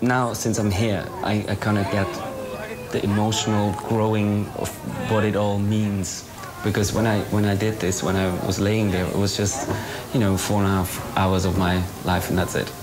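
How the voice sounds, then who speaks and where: A young man from Germany speaking unscripted in an interview, halting and understated. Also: lowish voice, level tone, low-quality recording. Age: young adult. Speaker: interviewee, donor.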